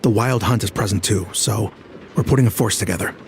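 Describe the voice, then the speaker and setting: A man's voice: lowish voice, a little fast, slight background noise, natural voice. A man voicing a male insider ally passing on intelligence in a video game. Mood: businesslike.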